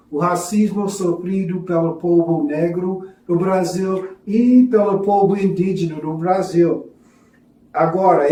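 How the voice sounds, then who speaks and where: Slow, high-voiced man with foreign-accented Portuguese. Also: warm tone. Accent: foreign, non-native Portuguese. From foreign to Brazil, a self-described gringo. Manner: halting, hesitant in Portuguese, apologetic. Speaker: religious teacher presenting a course. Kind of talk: lesson with storytelling. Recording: echoey room, distant from mic.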